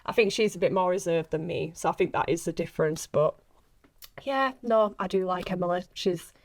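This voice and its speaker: A woman's voice: lowish voice, fairly quick. A woman in her late twenties, an actress chatting casually on a podcast in a giggly, self-deprecating way. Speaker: actress being interviewed.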